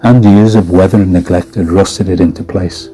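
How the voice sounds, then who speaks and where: A man with a very deep, dark voice narrates horror fiction over music. His storytelling is measured, grave and dramatic. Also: fairly even intonation.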